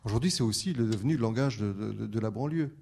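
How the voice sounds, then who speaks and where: A man's voice: fairly low pitch, fast talker, creaky, mellow, slight background noise. A man, a French teacher bilingual in French and Arabic, speaking on a discussion panel with frequent restarts and fillers. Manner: hesitant, many restarts.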